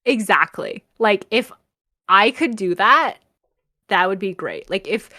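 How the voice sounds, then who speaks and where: A young woman talks casually as a podcast co-host. Her voice sits at an average pitch for a woman. The sound is dry, with very little room in it. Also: animated, measured pace, pushed and bright, no echo. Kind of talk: casual chat.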